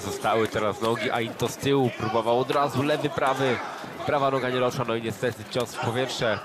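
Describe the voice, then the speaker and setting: A man's voice: medium pitch, steady tone, brisk. A man giving expert co-commentary on a boxing fight, breaking down the fighters' technique and mistakes. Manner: analytical, critical of technique. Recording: commentary over a loud crowd.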